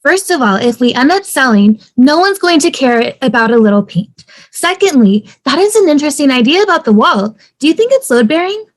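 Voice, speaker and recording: Casting: playful, upbeat young sitcom wife woman reading, very high voice, breezy optimism. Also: very animated, a little fast. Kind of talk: sitcom table read.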